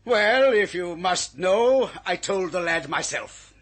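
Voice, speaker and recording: A man's voice: high voice, expressive, takes it slowly, fairly bright tone, exaggerated voice. A man acting a pompous, indignant British government minister who blusters huffily in an old-time radio thriller. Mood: affronted. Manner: pompous, huffy.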